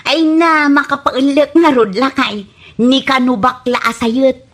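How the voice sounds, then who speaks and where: An actress plays a gossipy village wife from an Ilocano comedy drama. She speaks in a fairly high voice with an Ilocano accent, complaining and mocking as she goes. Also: steady tone, unhurried. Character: meddlesome, mocking village wife who gossips, scolds her husband.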